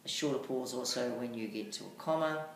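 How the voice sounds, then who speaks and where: A teacher giving an instructional lesson on reading aloud, speaking deliberately and emphatically with marked pauses. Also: mid-range voice. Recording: echoey room, faint background noise.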